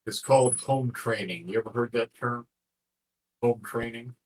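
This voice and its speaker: A man's voice: mid-range voice, normal speed, low-quality recording. A man from the American South with a Southern accent, chatting casually as a guest in a folksy way, restating phrases for emphasis. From American South, North Carolina. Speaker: interview guest. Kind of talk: casual chat.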